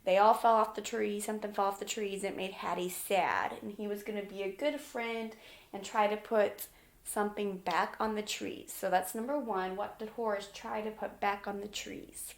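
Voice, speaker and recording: A woman's voice: mid-range voice, fairly even intonation, moderate pace, vocal fry. A woman with a mid-range voice speaks as a teacher to young children. She reads and explains in simple terms, as in a storybook lesson. She often turns to the listener with a question. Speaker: teacher reading a picture book to children.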